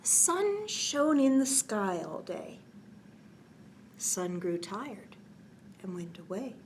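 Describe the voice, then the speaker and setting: A woman hosting a children's bedtime storytime, reading a picture book gently and expressively with casual asides, often dropping to a near-whisper. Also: mid-range voice, sing-song, slow pace, slight background noise.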